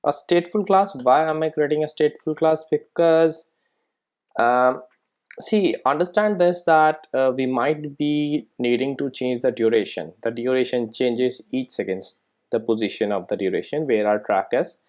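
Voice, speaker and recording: A man with a mid-pitched voice presents an informal programming tutorial. He explains step by step in a conversational way, often ending his sentences with a quick check-in question to the learner. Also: a little mellow. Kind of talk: informal screencast coding tutorial.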